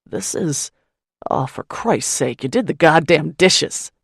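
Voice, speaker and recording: A surly, worn-out man growls and barks in a scratchy, hoarse voice, as a woman narrator puts him on. The voice sits very low with gravelly fry and some huskiness. The recording is dry and close. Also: animated, takes it slowly, no room sound, heavily acted. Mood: angry. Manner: barking, growling retorts. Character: gruff exhausted man, surly and snarling. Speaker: audiobook narrator.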